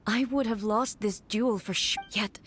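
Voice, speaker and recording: A woman playing a stern, resolute warrior ruler in a video game, speaking in a formal, grave and measured way. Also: medium pitch, steady tone, fairly bright tone, slight background noise, natural voice.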